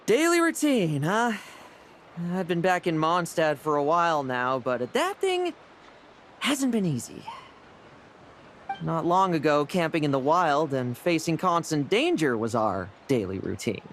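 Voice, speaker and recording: An actor playing a jaded young male knight in a video game, dry, restless and measured in his speech. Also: a little high, sing-song, a bit bright, slight background noise. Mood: brooding. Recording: game music underneath.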